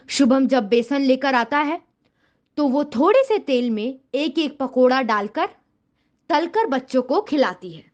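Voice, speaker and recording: A woman's voice: sits high, darkish tone, highs cut off. A woman narrating a scripted children's story as the storyteller.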